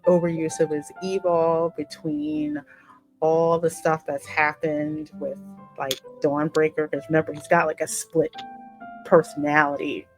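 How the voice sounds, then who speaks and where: A woman with a deep voice talks casually as a gaming co-host. She explains her points in a measured, conversational way, with soft guitar music playing behind her. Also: gravelly, rough recording. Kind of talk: casual reaction chat about a video game.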